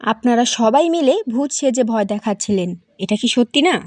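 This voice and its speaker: A woman's voice: a little high, brisk, a little mellow, dry recording, natural voice. A woman playing a young wife in a cartoon who questions and firmly accuses suspects. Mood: accusing. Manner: inquisitive, firm, accusing. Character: young wife turned sharp sleuth.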